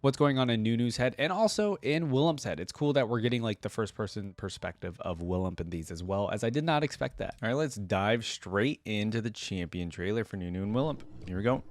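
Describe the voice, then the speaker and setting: A young man with a fairly low voice, recorded close and dry. He reads story text aloud and drops in informal asides as a reaction host. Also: expressive, a little fast, few pauses, dry recording. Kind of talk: reading a story aloud with casual commentary.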